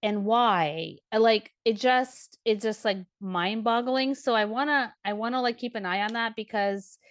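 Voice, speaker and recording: Animated woman, dry close sound. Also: a little high, a little slow, dry recording. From Ukraine. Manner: animated, lots of fillers and "like". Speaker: podcast panelist. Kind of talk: casual podcast chat.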